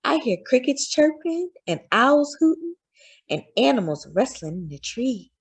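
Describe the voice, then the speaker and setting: A woman voicing a loving, patient mother who gently reassures her young son in a children's storybook read-aloud. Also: high voice, very animated, measured pace, husky, voice pushed up, full character voice. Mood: hushed, wistful.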